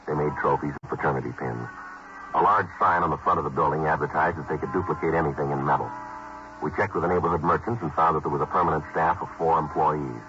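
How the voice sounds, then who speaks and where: An actor playing a terse male police detective in a 1950s radio drama, narrating his case in a clipped, flat, matter-of-fact way. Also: a bass voice, quick pace, music in the background. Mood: flat narration. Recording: muffled old radio broadcast with hiss.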